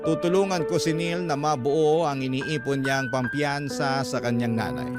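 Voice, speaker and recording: A man's voice: mid-range voice, lightly acted. A male actor narrating in the first person as a grieving, remorseful young man telling his story in a radio drama. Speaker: story narrator reading a letter sender's account.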